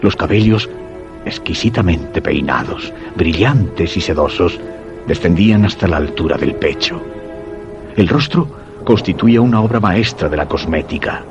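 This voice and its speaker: A weakened husband recounts horrors in a deep Castilian Spanish voice, grave and measured. It is radio suspense narration, full of dread. The sound is dull, with clear music underneath. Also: male voice, lively intonation. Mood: morbid fascination. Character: weakened, dread-stricken husband telling his macabre story.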